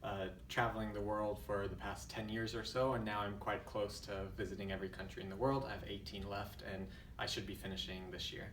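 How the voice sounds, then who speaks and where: Man, twenties, from the US, interview guest, low voice, faint ambient noise. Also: level tone, a bit bright, reverberant, far from the mic. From United States. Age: 20s. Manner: thoughtful, measured, with frequent fillers. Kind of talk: casual interview.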